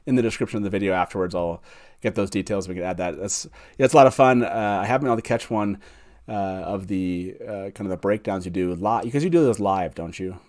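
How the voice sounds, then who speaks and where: A man's voice: deep voice, fast talker, darkish tone. Informal man hosting a live panel. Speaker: host of a fan show. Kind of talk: casual live panel chat. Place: online group video call, live stream.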